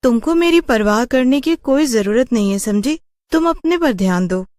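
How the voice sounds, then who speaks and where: A proud but downcast young college girl played by a woman talks in a high voice. It is an audio story drama, recorded dry and close. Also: expressive, moderate pace, a little mellow, dry recording, close to an everyday voice. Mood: indignant.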